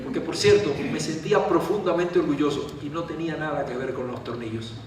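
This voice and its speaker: A man's voice: fairly high pitch, fairly quick. A man from Cuba, a writer, telling a self-deprecating anecdote and answering questions at a literary gathering. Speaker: author. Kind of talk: storytelling talk and answering questions. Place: large event hall. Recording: echoey hall from far off, faint noise.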